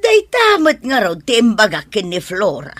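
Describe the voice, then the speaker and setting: A woman's voice: mid-range voice, huge pitch swings, moderate pace, fairly bright tone, no room sound, studio quality. A woman with an Ilocano accent acting as a nosy, skeptical middle-aged mother in a radio drama. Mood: gossipy.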